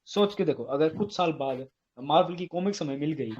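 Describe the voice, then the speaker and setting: On a muffled, lo-fi call, a man with an Indian accent argues casually. His voice sits a little high. He challenges the other side and repeats his questions and objections. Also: fairly quick, a little mellow, a little hiss or hum. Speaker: caller, atheist debater. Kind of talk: argumentative casual debate. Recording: dull lo-fi phone call audio.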